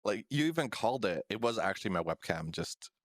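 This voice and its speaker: A man hosting a casual podcast, easygoing, chuckling and a bit halting. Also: fairly low pitch, animated, dry recording, studio quality. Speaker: podcast host. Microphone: studio microphone.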